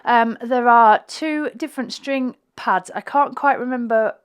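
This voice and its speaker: Slightly croaky woman explaining her music session. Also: a little high, unhurried. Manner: chatty, thinking aloud while clicking through tracks. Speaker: flutist and home producer. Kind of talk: talking through a music session on screen.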